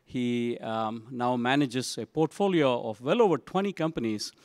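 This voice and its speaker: A man moderating a business panel at a conference, introducing the speakers to the audience in a relaxed, halting way with many fillers. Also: mid-range voice, no echo, studio quality. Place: conference session room.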